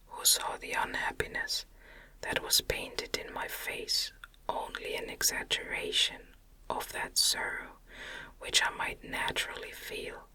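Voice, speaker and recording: A woman reading a gothic novel as ASMR in a gentle, soothing, breathy whisper. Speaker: audiobook reader.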